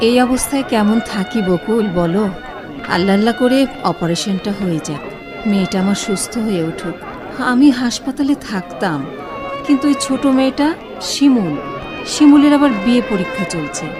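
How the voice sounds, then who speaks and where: A woman acts out a worried, motherly figure in a radio play. Her mid-pitched voice is gentle and apologetic, with music playing and a busy crowd murmuring behind her. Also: lively intonation, moderate pace, lightly acted. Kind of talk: Bengali radio drama acting.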